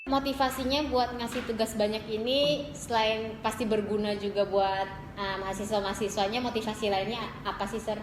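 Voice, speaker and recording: A woman's voice: on the high side, fairly even intonation, moderate pace, few pauses. A young adult woman from Indonesia working as a student radio interviewer, asking questions in a casual, chatty way. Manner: informal, chatty. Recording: echoey room with clear background noise.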